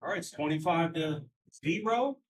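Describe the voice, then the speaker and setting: A man's voice: on the high side, expressive, normal speed. A man chairing a party convention in casual, conversational words with restarts, heard in a meeting room. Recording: voice from far off the mic.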